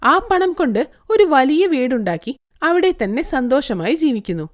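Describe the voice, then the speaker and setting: A woman's voice: sits high, big pitch swings, normal speed. A woman narrating a scripted moral story as a storyteller.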